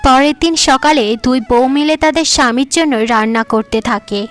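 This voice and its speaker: A woman's voice: high-pitched, brisk, soft background music. A woman narrating a cartoon folk tale in a plain storytelling manner.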